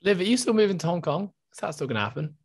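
A man's voice: sits high, animated, very fast, husky. A young man hosting an interview over a video call on a headset, asking friendly, casual questions. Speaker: podcast host.

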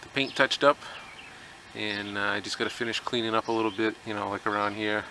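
A middle-aged man talks through a do-it-yourself boat repair in an easygoing, plainspoken manner, dropping in plenty of fillers. His voice sits low with a gravelly edge. He is recorded outdoors on a camera mic, with clear rural ambience and birdsong around him. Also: brisk, a little hiss or hum. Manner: relaxed, plainspoken, with many fillers. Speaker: DIY workshop vlogger. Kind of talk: casual how-to narration. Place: outdoors in a rural driveway beside a boat trailer.

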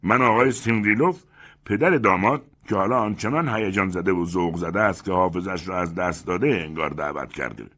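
A man's voice: low voice, a bit bright. A man voicing a proud, touchy middle-aged man who talks in a haughty, declaiming way.

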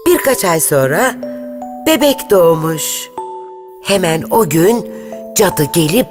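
Lively low-voiced woman narrating a fairy tale. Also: slow. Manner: lilting storytelling, lively intonation. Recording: narration over clear background music.

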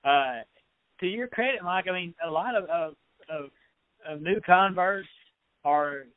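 A man co-hosting an interview, chatting casually and haltingly, often repeating words as he forms a thought. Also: sits high, no room sound. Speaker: podcast co-host. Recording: dry, tinny phone-like sound.

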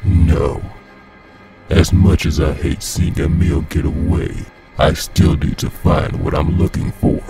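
Savage monster, slow deep menace. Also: male voice, vocal fry, warm tone, soft background music, exaggerated voice. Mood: sinister, hungry. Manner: menacing, drawn-out delivery. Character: savage predatory monster, evil, commanding, hungry. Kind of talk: dramatic audio story acting.

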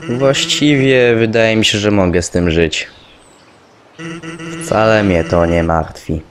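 A man voicing a laid-back, resigned skeleton in an animated fan dub, with casual slangy delivery. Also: lowish voice, takes it slowly.

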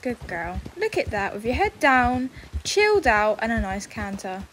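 A woman giving casual, excitable commentary as she role-plays in a video game, exclaiming often. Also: on the high side, normal speed, a little hiss or hum, low-quality recording. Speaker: gaming video creator.

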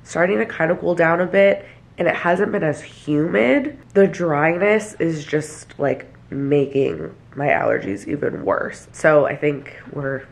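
A woman's voice: sits low, lively intonation, unhurried, vocal fry. A young woman vlogging casually to the camera in her small apartment. She sounds nasal and stuffy from allergies and talks with lots of fillers. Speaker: vlogger and hairstylist. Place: small apartment, kitchen and bathroom. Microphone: handheld camera at arm's length or tripod.

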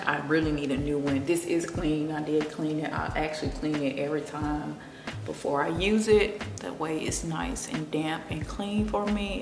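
A young woman with a Memphis drawl talks through her makeup routine in an easy, confiding chat. Her voice sits low, and the room rings with a long echo while music plays behind her. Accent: Memphis Southern. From Memphis, Tennessee. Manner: relaxed, confiding, drifting between makeup steps and personal chat. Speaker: beauty vlogger. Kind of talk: chatty get-ready-with-me makeup talk. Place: home room with plants.

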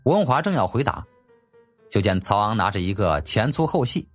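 Expressive male audiobook narrator, animated pitch. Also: normal speed, soft background music. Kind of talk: dramatic scripted storytelling.

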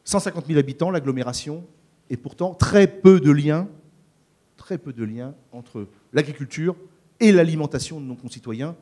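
A man's voice: fairly high pitch, normal speed, warm tone. A middle-aged man from France, a farmer speaking on a conference panel into a handheld mic, hesitant with many fillers and repeated words. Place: conference stage before an audience. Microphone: handheld microphone.

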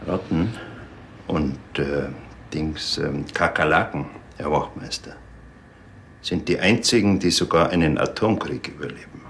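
Muddled old dad, TV comedy: bottom-register gravel, halting, distracted. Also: male voice, rumbling low, a bit bright, exaggerated voice. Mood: muddled, preoccupied. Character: muddled older father obsessed with killing vermin. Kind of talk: TV crime comedy acting. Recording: faint background noise.

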